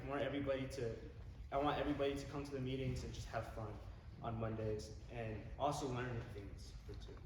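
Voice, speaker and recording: Teenage male, informal campaign speaker, halting and rephrasing, mid pitch, very echoey noisy room. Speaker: youth candidate giving a campaign speech. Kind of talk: informal campaign speech to a group. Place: large echoey indoor room. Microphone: distant microphone. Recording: echoey hall from far off, background noise.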